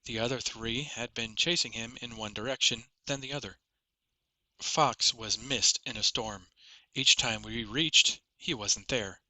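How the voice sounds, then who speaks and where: A man's voice: mid-range voice, measured pace, pushed and bright, no room sound, rough recording. A man reading a first-person fantasy story as a scripted audiobook narration.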